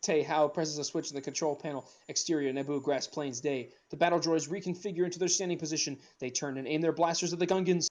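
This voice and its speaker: A man's voice: fairly high pitch, fairly even intonation, darkish tone. A man reading out script stage directions as narrator, casually and with some stumbles. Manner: halting, stumbles over the script. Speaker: narrator of a script table read.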